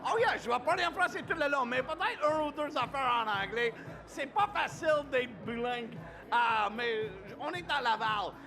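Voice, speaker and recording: A very high, gravelly male voice, a native English speaker pushing through French with loud, playful energy. He drops English phrases into his French and lets out whoops. Music plays clearly behind him. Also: moderate pace. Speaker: comedian guest. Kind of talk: hyped-up festival interview.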